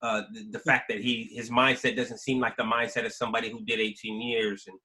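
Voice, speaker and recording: Bright, forceful American man in his forties. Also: medium pitch, level tone, fairly quick. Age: 40s. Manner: emphatic, repetitive, slangy. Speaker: guest, YouTube creator telling his life story. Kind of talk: casual conversational storytelling.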